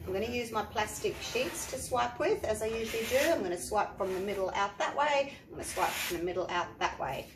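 An Australian woman, an art teacher, chatting step by step through a painting tutorial with a croaky voice from the flu. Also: medium pitch, lively intonation, brisk, few pauses. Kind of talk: tutorial narration. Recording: echoey room, faint noise.

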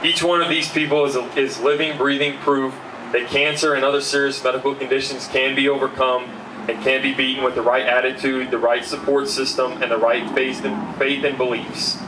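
A man's voice: medium pitch, a little fast. A young man, giving an earnest keynote speech from notes at an outdoor benefit, speaking into a handheld mic. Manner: earnest, steady, fillers between points. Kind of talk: reading a prepared speech. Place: outdoor backyard party. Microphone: handheld mic, recorded by far camera. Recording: distant roomy outdoor sound, faint hum.

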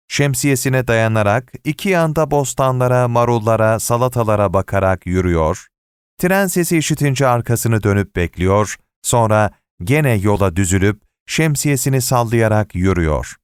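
Guy narrating a short story, lowish voice, really lively intonation, dry close recording. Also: normal speed, clean tone, no echo. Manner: expressive storytelling. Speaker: audiobook narrator. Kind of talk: scripted short story narration with acted dialogue.

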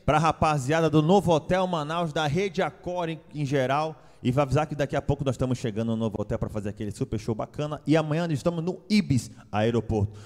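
High-voiced young male singer, hyped. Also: fast talker, hardly any pauses, in a large hall. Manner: hype, shouts out to friends and sponsors. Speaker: toada singer and guest. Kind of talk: excited TV chat and singing. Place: TV studio with live band. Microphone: handheld microphone. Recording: studio with clear music behind.

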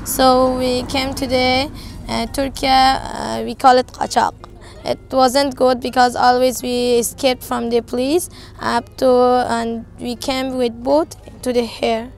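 A fifteen-year-old girl from Afghanistan with an Afghan accent, answering interview questions in halting, simple non-native English. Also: sits high, level tone, vocal fry. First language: not English. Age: 15, teenager. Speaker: interviewee, volunteer translator. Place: outdoors on a roadside.

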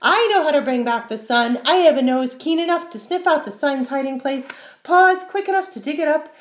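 A woman voicing a clever, eager fox with quick, urgent yips, as in a storybook. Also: high-pitched, pitched above the performer's own voice, close to an everyday voice. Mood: eager, boastful. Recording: roomy, echoing space.

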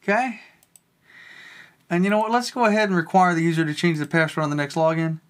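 A tech video host giving a casual, rambling walkthrough of a software tool, thinking aloud while clicking. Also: mid-range voice, steady tone, fast talker.

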